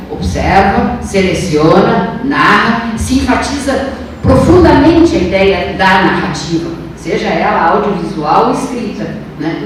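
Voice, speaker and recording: A Brazilian woman academic answering on a panel in an emphatic, didactic way, returning to key phrases. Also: mid-range voice. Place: large echoing room at a university event. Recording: very echoey room, distant mic, faint noise.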